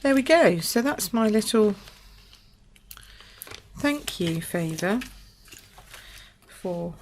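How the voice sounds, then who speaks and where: A woman from the UK, slightly croaky, demonstrating a papercraft project at her table in a chatty, think-aloud way. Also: medium pitch, animated, very slow, darkish tone, slight background noise. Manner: chatty, thinks aloud with fillers and small apologies. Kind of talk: casual craft tutorial. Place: indoors at a craft table.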